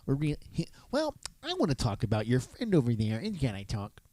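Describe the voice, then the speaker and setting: A man of ordinary pitch banters as a podcast co-host, his intonation swinging widely. He is crude and joking, and the sound is dry and close. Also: huge pitch swings, a little fast, darkish tone, no echo. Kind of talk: casual chat and banter.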